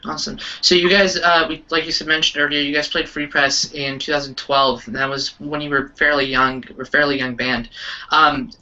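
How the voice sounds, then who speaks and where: A man works as host of a casual interview. His voice is very bright and forceful at a medium pitch, and it comes through distant and slightly dull. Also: little pitch movement, normal speed. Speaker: interviewer hosting a band hangout. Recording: distant, dull webcam-style sound.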